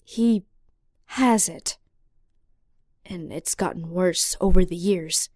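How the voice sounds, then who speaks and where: A woman's voice: fairly low pitch, slow, darkish tone, dry recording, clean studio sound. A woman playing a caring but firm healer in an audio drama. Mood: subdued.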